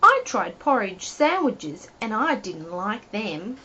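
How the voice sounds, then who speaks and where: A woman's voice: mid-range voice, big pitch swings, takes it slowly, pushed and bright, husky, exaggerated voice. A woman voicing a cheerfully absurd male storybook character who talks nonsense earnestly and emphatically. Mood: earnest, matter-of-fact. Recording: dull lo-fi home recording.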